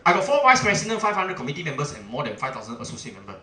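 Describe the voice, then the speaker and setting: Fast-talking Singaporean man in an echoey room. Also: a little high, wildly swooping pitch, sharp tone. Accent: Singaporean English. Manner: emphatic, asks the audience questions, frequent "okay" and "ah" fillers. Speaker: chamber president giving a seminar. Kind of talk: seminar talk to an audience.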